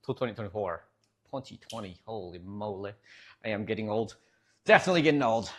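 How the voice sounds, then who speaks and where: A man's voice: on the low side, animated, brisk, a little hiss or hum. A man with a Midwestern American accent streaming from home, rambling casually to his chat and laughing often.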